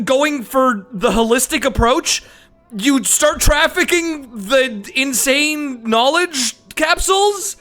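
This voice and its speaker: High-pitched, bright-voiced man streaming games. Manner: sweary, animated, mock-dramatic. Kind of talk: casual joking game commentary.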